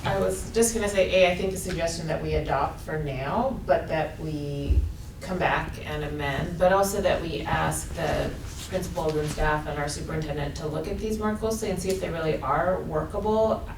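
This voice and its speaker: A woman board member speaking at a school board meeting, halting and piling up clauses. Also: sits low. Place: meeting room. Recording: echoey room, distant mic, some noise.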